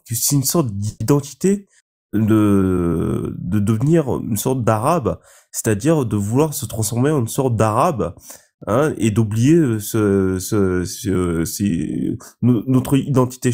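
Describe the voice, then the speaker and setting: Male caller, low dark voice, halting, restarts his sentences. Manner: hesitant, many fillers and restarts. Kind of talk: casual opinion monologue.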